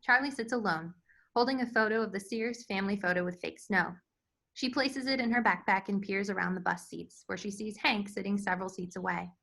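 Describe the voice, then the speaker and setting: A woman's voice: medium pitch, a little fast, creaky, pushed and bright. A woman reading stage directions as scripted narration for a screenplay table read. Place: remote virtual table read.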